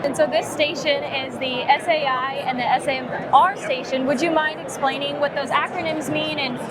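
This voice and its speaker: A woman reporter asking demonstrators upbeat, quick follow-up questions in an on-site news interview. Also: high-pitched, fairly even intonation, over loud music. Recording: loud crowd noise from a busy hall. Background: crowd chatter and noise.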